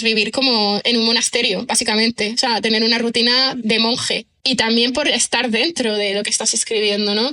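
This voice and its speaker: Bright, high-voiced woman chatting. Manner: chatty, self-deprecating, thinks aloud with frequent check-in tags. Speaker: podcast guest, writer and screenwriter. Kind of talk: casual conversational interview answers.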